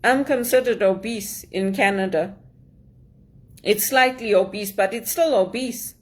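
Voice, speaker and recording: A woman's voice: mid-range voice, a little slow. A woman from Zimbabwe in an earnest personal monologue, aggrieved, repeating phrases for emphasis. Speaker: immigrant sharing her story.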